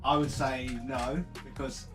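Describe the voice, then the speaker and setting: A man's voice: medium pitch, little pitch movement, measured pace, a bit bright. A man in the same room as the streamer, answering her questions with dry, teasing remarks from away from the microphone. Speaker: streamer's partner. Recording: distant from mic, music behind.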